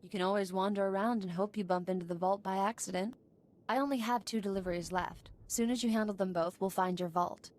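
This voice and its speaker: A woman's voice: medium pitch, fairly even intonation, brisk, darkish tone, close to an everyday voice. A woman playing a tough, sardonic smuggler running a warehouse in a video game, blunt and wry. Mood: businesslike.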